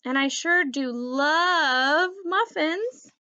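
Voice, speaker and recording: A woman voicing an excitable, food-mad male pet dog in a children's storybook, eager and dramatic. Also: high voice, very slow, muffled recording, voice pushed up, heavily acted. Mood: whining, pleading.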